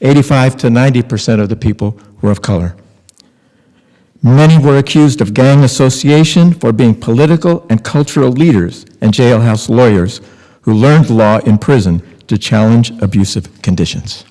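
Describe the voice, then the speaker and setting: An older man reads aloud from a script in a dark, mellow voice of medium pitch. The hall adds long reverb. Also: moderate pace. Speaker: reader in a readers theater. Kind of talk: scripted public reading.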